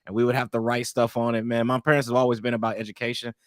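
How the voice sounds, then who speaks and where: A young man from East St. Louis, guest on an interview stream, telling his story in a relaxed, rambling way with lots of "man" and restarts. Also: medium pitch, racing, no room sound, clean studio sound. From East St. Louis, Illinois.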